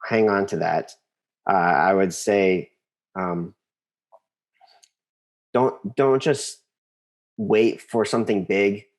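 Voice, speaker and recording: A man's voice: fairly low pitch, takes it slowly, long pauses. An American man in his forties speaking casually as an interview guest, thinking aloud and repeating phrases for emphasis.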